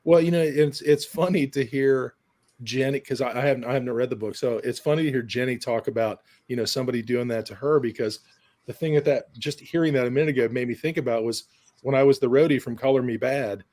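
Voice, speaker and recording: A man's voice: medium pitch, fast talker, darkish tone. A man in his fifties on a video call, casually sharing memories as a guest. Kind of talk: casual reminiscing. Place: home room with shelves, video call.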